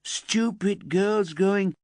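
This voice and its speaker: A man's voice: sits high, extremely slow, darkish tone, no room sound, clean studio sound, voice pushed up. A man voicing a resentful teenage girl who whines and turns tearful. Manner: whiny and indignant, rising to wailing.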